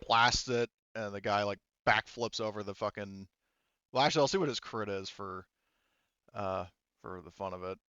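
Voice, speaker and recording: A man speaking casually and haltingly, with some swearing, as game master of an online tabletop roleplaying game. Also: lowish voice, bright, forceful tone, clean tone, no echo, lo-fi sound.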